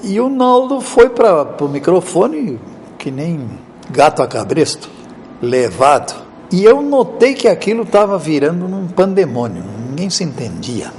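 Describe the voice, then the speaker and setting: Male radio veteran, anecdotal interview; lively, colorful, faint background noise. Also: fairly high pitch, unhurried. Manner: lively storyteller, colorful sayings.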